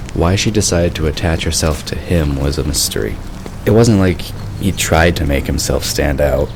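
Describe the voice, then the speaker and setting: Extremely deep-voiced male narrator. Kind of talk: scripted fantasy audio drama narration. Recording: clear background noise.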